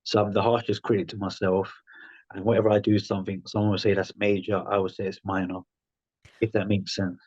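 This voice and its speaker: A man's voice: fairly low pitch, level tone, a little fast, a little mellow, breathy edge, no room sound, muffled recording. A man in his thirties, a podcast guest, talking frankly in loose, rambling phrases with plenty of "um" and "like". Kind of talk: conversational interview.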